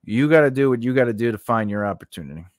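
A man's voice: medium pitch, expressive, rapid-fire, no room sound. A man in his forties giving an informal lesson in a conversational, rambling way, close on a studio microphone. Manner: conversational, rambling, punctuated with "you know" and "right?". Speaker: host of a screenwriting lesson. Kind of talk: informal instructional talk. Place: small indoor home studio. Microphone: large condenser microphone on a boom arm, close up.

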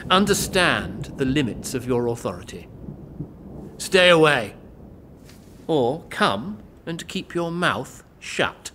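A man's voice: medium pitch, very animated, slow pace, sharp tone, slight background noise, full character voice. A man playing a cold, imperious medieval bishop who threatens and commands in an audio drama. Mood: menacing. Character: menacing, domineering medieval bishop acting as judge.

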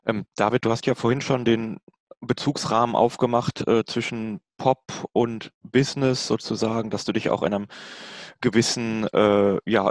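A man's voice: fairly low pitch, no room sound. A man moderating a panel discussion over a video call, asking long questions with many fillers and restarts.

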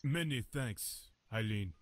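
A man playing a big, stoic jungle hunter in a visual novel game, halting and terse. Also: medium pitch, expressive.

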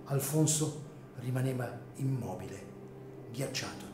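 A man narrating a dramatic story from a script in a measured, solemn, literary manner. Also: medium pitch, lively intonation. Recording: echoey room, music underneath.

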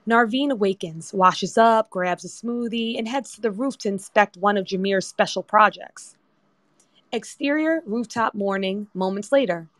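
A woman's voice: medium pitch, moderate pace, a bit bright, no echo. A woman narrator reading screenplay directions as scripted narration. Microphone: remote audio chat app.

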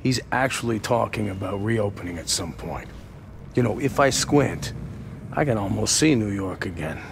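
Very gravelly American police captain. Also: male voice, on the low side, animated, normal speed, background noise. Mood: approving, weary. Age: middle-aged. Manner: plainspoken, understated. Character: weary, gruff middle-aged New York police captain. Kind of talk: video game cutscene acting.

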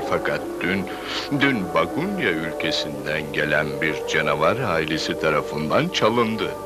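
A friendly little magical guide in a dubbed cartoon, telling the tale in a very low voice. The delivery swings widely in pitch, with music playing behind. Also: male voice. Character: small friendly magical creature, everyone's brother, guiding storyteller. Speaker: narrator and guide.

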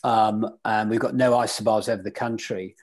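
A man's voice: on the low side, fairly even intonation, normal speed, a little mellow. A man teaching sailing weather in an online club lecture over a video call, quizzing his listeners as he goes. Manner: interactive, quizzes the audience.

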